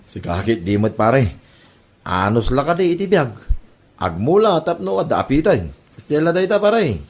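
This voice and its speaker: A man's voice: medium pitch, sing-song, normal speed. Guy as a good-natured hardworking village farmer, ilocano accent, very up and down intonation, comedy drama. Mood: earnest. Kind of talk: comedy radio-style drama acting.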